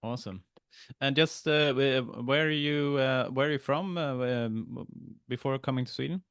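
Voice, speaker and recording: A man's voice: mid-range voice, moderate pace, creaky, fairly bright tone, smooth, dry recording, clean studio sound. A man hosting an interview in a casual chat style, informal and agreeable, feeling his way through his questions.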